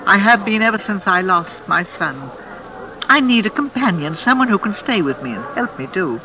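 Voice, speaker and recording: An actress playing a lonely, mysterious old gentlewoman in a 1940s radio suspense play, formal, precise and insistent. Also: medium pitch, expressive, normal speed, a little hiss or hum, poor audio. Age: older. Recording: vintage radio drama with music behind.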